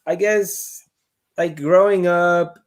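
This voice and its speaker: A man's voice: high-pitched, steady tone, slow. A man from Puerto Rico chatting casually as a podcast guest, with loose, informal, hesitant phrasing. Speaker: podcast guest, reptile keeper. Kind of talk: casual chat.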